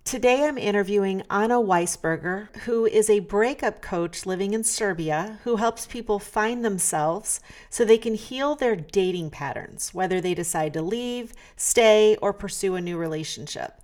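A woman's voice: mid-range voice, normal speed, hardly any pauses, a little mellow. A woman who hosts a podcast and works as a coach, reading a prepared introduction and then asking her guest questions. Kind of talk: interview podcast.

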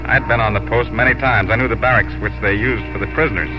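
A man's voice: lowish voice, steady tone, fast, close to an everyday voice. Man acting young Texan rancher, lovelorn, earnest 1950s radio narration, muffled sound, music behind. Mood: brooding.

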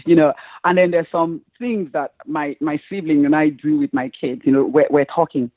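A middle-aged woman from Nigeria with a Nigerian accent, chatting as a guest in a relaxed interview. She laughs often and talks with many fillers and restarts. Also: sits low, normal speed. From Enugu State, Nigeria. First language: Igbo. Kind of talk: casual conversational interview. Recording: tinny phone-like line, dry.

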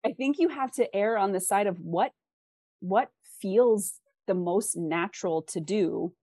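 A woman's voice: mid-range voice, measured pace, mellow, husky, dry recording, studio quality. A woman giving thoughtful, conversational interview answers as a guest author, explaining things and acting out imagined questions.

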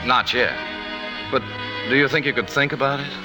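An actor playing an earnest, bashful movie star in love, stammering boyishly in a 1950s radio drama. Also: male voice, mid-range voice, normal speed, close to an everyday voice. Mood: smitten, bashful. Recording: 1950s radio broadcast with loud music.

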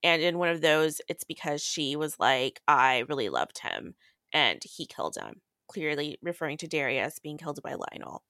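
A woman's voice: low-pitched, animated, normal speed, a bit bright, dry recording, studio quality. A young adult woman hosting a podcast, recapping a book in casual, irreverent chat with crude humor and swearing. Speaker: podcast host.

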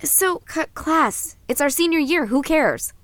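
Headstrong young American film student. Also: female voice, high-pitched, lively intonation, unhurried, fairly bright tone, no echo. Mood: irritated. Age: early 20s. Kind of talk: audio drama acting, documentary narration and casual banter.

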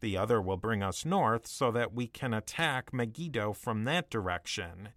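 A man's voice: lowish voice, expressive, normal speed, a bit bright, dry recording, clean studio sound. An actor playing an anxious Egyptian general in a dramatic reading, pleading formally with his king. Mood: worried pleading. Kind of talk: dramatic reading of an ancient account.

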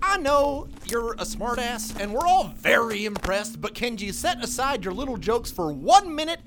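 A woman's voice: fairly high pitch, big pitch swings, moderate pace, noisy background. A woman voicing a suspicious, snappish young man in love in an audio drama. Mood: distrustful.

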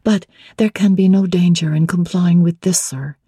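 Spirited young heroine of classic storybook, acted by a woman reader: mellow, husky, forthright, playfully defiant. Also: on the low side, fairly even intonation, moderate pace, no room sound, studio quality. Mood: polite, curious. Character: spirited, frank young woman, teasing bride-to-be. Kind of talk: dramatic classic novel reading.

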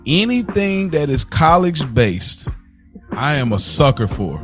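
A man's voice: a little high, very animated, slow pace, music in the background. An American man co-hosting a podcast, talking in an informal, slangy conversational way. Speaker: podcast co-host. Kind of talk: casual chat.

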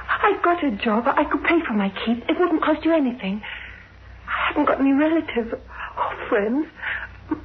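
An actress playing an orphaned sixteen-year-old girl in an old radio drama, earnest and halting, with a needy yet proud manner. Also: high voice, natural voice. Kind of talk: 1960s radio drama acting. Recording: tinny, noisy old radio recording.

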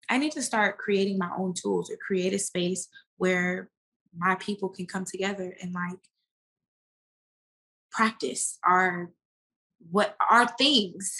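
A woman guest talking casually and informally in an interview, slangy and animated as she tells stories and quotes herself. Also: mid-range voice, rough recording. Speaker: podcast guest, actress and business owner.

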